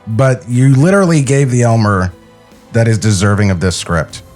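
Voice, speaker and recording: A man's voice: mid-range voice, normal speed, darkish tone, faint music behind. A man co-hosting a podcast with casual, comedic banter. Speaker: podcast co-host.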